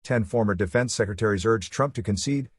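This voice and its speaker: A man reading a scripted news report in a formal, measured manner. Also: on the low side, husky, dry recording, studio quality. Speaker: news reader.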